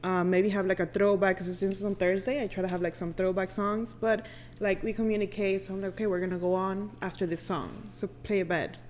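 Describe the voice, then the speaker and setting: A woman with a medium-pitched voice talks casually as a radio host on a guest spot. Her phrasing is informal and slangy. There is light noise in the room behind her. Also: fairly even intonation, fairly quick, a little mellow. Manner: informal, slangy, frequent 'like' and 'you know'. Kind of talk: casual chat.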